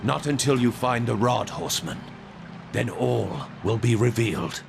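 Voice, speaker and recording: An actor playing a proud, self-righteous ancient angel guardian in a video game, speaking in a solemn, grandiose, measured way. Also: male voice, mid-range voice, lively intonation, creaky, noisy background. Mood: grave, solemn. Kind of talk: video game cutscene acting. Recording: music behind the voice.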